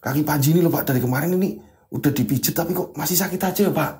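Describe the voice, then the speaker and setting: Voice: medium pitch, racing, a little mellow, lightly acted. Storyteller as a timid young footballer. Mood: anxious. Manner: timid, pleading. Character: young male footballer, anxious and hurting. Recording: roomy sound with faint music.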